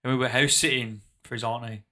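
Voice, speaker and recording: A man's voice: mid-range voice, fairly even intonation, quick pace, pushed and bright. A young man, co-hosting a casual podcast in a small home studio, teasing and cheeky. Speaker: podcast co-host. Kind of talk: casual chat. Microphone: studio mic on boom arm, headphones.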